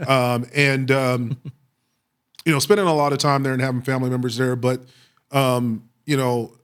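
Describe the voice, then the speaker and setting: A middle-aged American man from Texas answering interview questions with personal stories, speaking reflectively with frequent pauses and repeated phrases. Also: medium pitch, flat delivery, clean tone. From Waco, Texas, United States. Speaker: police chief, interview guest.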